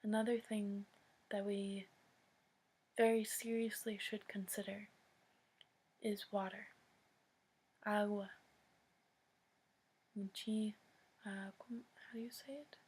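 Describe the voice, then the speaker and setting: Slow, deliberate American woman giving an address. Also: mid-range voice, flat delivery, a little mellow, slightly rough. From United States. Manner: solemn, deliberate, with long pauses between short phrases. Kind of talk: earnest public address to camera.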